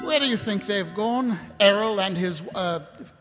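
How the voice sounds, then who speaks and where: An actor playing a world-weary, halting city watch captain in a comic fantasy radio play. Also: male voice, high-pitched, close to an everyday voice. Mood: awkward, tender. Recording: live stage in reverberant hall, music underneath.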